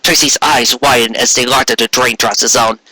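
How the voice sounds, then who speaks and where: A man narrates a fantasy story in an amateur read-aloud style. His voice is very bright and somewhat raspy, recorded close and dry. He trips on words and corrects himself as he goes. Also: medium pitch, steady tone, normal speed, no echo, poor audio. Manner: stumbling over words, self-correcting. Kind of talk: reading fan fiction aloud, narration.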